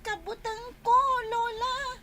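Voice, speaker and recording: Scared little ilocano kid, super high voice, slow whiny delivery. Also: female voice, fairly even intonation, slight background noise. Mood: frightened, timid. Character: timid, frightened young child. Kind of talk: Ilocano audio drama acting.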